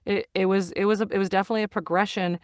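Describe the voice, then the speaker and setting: Earnest woman with a smooth, dry studio voice. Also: medium pitch, steady tone, no room sound. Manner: earnest, halting, repeats herself while searching for words. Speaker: guest, voice actor and audiobook narrator. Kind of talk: casual interview chat. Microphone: studio microphone.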